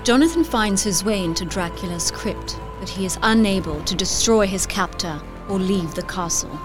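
A woman's voice: medium pitch, animated, moderate pace. A woman announcer delivering scripted recap narration for an audio drama. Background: music.

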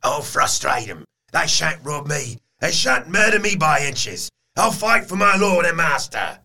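An actor playing a violent, fanatically devoted asylum patient in an audio drama, ranting in drawn-out, frenzied defiance. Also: male voice, on the high side, big pitch swings, creaky, sharp tone, exaggerated voice.

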